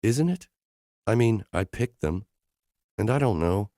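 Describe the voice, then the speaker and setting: A man's voice: animated, measured pace, no echo, clean studio sound. Man voicing a tearful young woman. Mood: self-doubting. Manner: higher, softer put-on woman's voice. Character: emotional young woman, tearful and self-doubting. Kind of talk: audiobook character dialogue.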